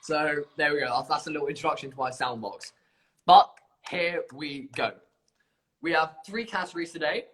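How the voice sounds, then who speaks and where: A man's voice: fairly high pitch, normal speed, fairly bright tone, a little hiss or hum. A man hosting an informal live competition stream, chatty and self-deprecating, bumbling along as he presents. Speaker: competition livestream host.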